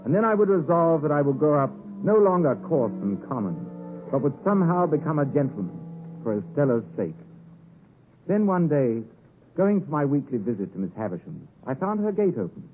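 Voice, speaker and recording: Wistful, expressive radio narrator, muffled. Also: male voice, a little high, a little fast. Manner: reflective, literary. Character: wistful gentleman narrating his own boyhood. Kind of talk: 1950s radio drama narration. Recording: muffled 1950s radio recording, faint music.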